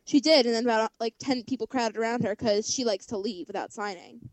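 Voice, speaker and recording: A young woman co-hosting a podcast, jumping in eagerly and chatting fast. Her voice is very high. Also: no echo, rough recording. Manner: excitable, interrupting, quick to laugh. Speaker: podcast co-host. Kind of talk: casual chat.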